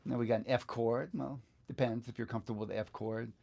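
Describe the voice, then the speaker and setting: A middle-aged or older man giving a casual hands-on software music lesson, thinking aloud in an easygoing, halting way as he works. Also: medium pitch, steady tone, gravelly. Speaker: guitar teacher presenting a software tutorial. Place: small indoor home studio, seated at computer. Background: backing track music.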